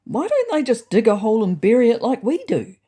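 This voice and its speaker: A woman narrating a storybook diary as a fussy, indignant male house cat, in a wry, prim, deadpan manner. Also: on the high side, fairly quick, husky, voice pushed up, full character voice. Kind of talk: first-person storybook narration.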